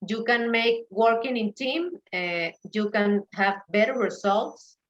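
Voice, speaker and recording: Mexican-accented woman, muffled lo-fi audio. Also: on the high side. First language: Spanish. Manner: halting, searching for English words. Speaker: panel guest, event organizer. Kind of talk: conversational interview. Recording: dull, lo-fi call audio.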